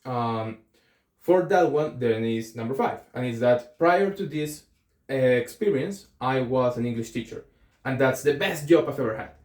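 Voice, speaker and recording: A young man with a Colombian accent speaks casually to camera, thinking aloud as he goes. His voice sits at a middle pitch and bounces off the walls of an echoey room. He pauses on ums and corrects himself mid-sentence. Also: a little mellow. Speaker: vlogger. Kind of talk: casual talking-to-camera vlog.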